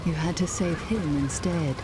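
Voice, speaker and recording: An actress playing a resolute, grave woman warrior in a video game, speaking in a stern, deliberate way. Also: sits low, very noisy, lightly acted. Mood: grave concern. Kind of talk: dramatic video game narration and dialogue. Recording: loud music behind the voice.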